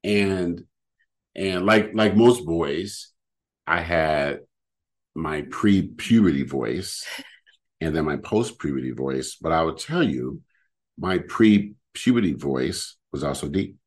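Very deep-voiced man, lively, rambling. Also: slow pace. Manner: rambling, lively, full of fillers. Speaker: podcast host. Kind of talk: casual chat. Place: home living room.

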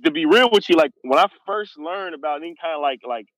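A man's voice: fairly high pitch, lively intonation, fast talker, fairly bright tone, dry recording. A man talking loosely in a casual podcast discussion, rambling and filling with "like" and "you know what I mean".

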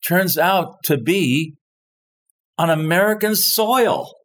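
A man's voice: fairly high pitch, animated, takes it slowly, a bit bright. A man telling a long story in casual conversation, rambling and stopping to search for words. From born in France, grew up overseas. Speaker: guest telling stories.

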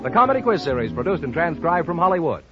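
A man's voice: fairly high pitch, very animated, fast, over music. An American man announcing a 1940s radio show and its sponsor in a polished, emphatic style. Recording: muffled 1940s radio broadcast recording.

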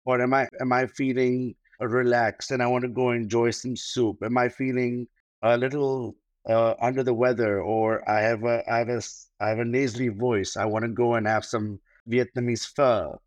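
Man, wedding planner guest, mid pitch, dry close mic, thoughtful, pauses with fillers. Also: little pitch movement, no room sound. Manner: thoughtful, with many fillers and repeated starts. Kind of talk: podcast interview.

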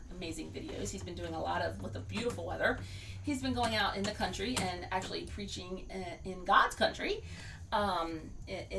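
A woman hosting a podcast, reading an article aloud with careful, deliberate pacing and frequent restarts, then signing off casually. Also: medium pitch, gravelly, far from the mic, a little hiss or hum. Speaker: podcast host.

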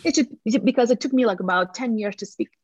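Latvian-accented woman, bright and frank. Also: fairly high pitch, quick pace, husky, no room sound, highs cut off. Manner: upbeat, frank, addresses listeners as friends. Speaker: guest, real estate agent. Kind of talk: casual podcast chat over video call. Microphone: video call.

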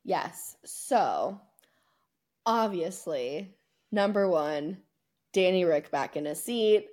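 A woman with a somewhat low voice talks as a podcast co-host. Her delivery is casual and conversational, with emphatic, exaggerated phrasing and openly opinionated turns. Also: lively intonation, takes it slowly, darkish tone. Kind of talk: casual sports chat.

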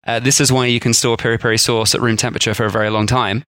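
A man of ordinary pitch talks through food history in a loose, chatty podcast manner. He laughs easily at his own attempts at accents. His voice sits close and dry on the mic, with no room around it. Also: flat delivery, rapid-fire, pushed and bright, no echo. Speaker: podcast co-host. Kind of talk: casual food history chat.

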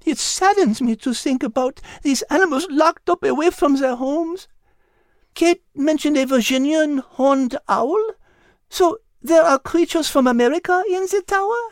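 Narrator as a refined French female cat, earnest, gentle, high voice, dry storybook recording. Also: measured pace, dry recording. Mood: somber.

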